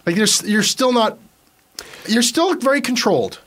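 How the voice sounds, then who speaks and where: Lively, teasing male podcast host. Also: extremely high pitch. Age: young adult to middle-aged. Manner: teasing, probing, talks in halting restarts. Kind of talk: casual conversational interview.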